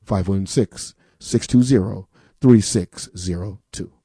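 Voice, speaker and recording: A man's voice: low voice, slow, dark tone, breathy edge, no room sound, studio quality. Emphatic man hosting a radio pledge drive. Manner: emphatic, repeats phone number, rhetorical questions. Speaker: radio fund drive host. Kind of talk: on-air pledge appeal.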